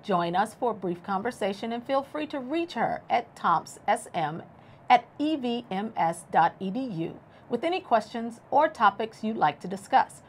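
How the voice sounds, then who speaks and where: A middle-aged woman, a teacher co-hosting a school news show, reading announcements in a measured, careful way from a studio desk. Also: a little high, animated, slight background noise.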